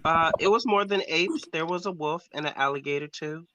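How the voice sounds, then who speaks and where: A man's voice: a little high, brisk, dull audio, background noise. A man bantering casually as a panelist in a group discussion.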